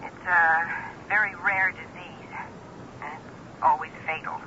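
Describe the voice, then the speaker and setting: Female caller, radio drama, telephone effect, crisp bright tone, band-limited old recording. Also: on the low side, fairly even intonation, slow. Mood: grave. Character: woman friend phoning with grave news. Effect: telephone filter. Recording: thin phone line, noisy old broadcast.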